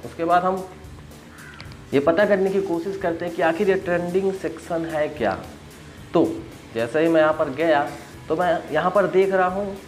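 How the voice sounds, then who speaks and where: A man's voice: on the high side, poor audio. A man casually explaining an app to his viewers, conversational and often rephrasing his points. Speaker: host of a tech app review channel.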